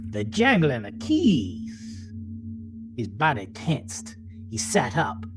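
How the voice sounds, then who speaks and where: A man narrating a horror story in a theatrical, deliberate, brooding way, as scripted audio drama. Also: mid-range voice, huge pitch swings, over music.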